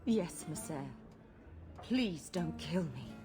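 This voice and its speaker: An actress playing a seductive, manipulative blood mage courtesan in a video game. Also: female voice, on the low side, lively intonation, slow pace. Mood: frightened, pleading. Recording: game audio with music behind.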